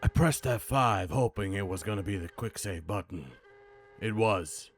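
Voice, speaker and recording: A man voicing a hard-boiled, world-weary action-game hero in a brooding, measured noir monologue. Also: on the low side, expressive, close to an everyday voice. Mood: dry.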